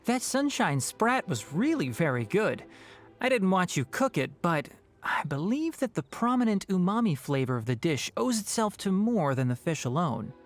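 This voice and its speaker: A man playing a sharp-witted young scholar in a video game, precise, articulate and dryly analytical. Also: high-pitched, sing-song, normal speed. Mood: thoughtful.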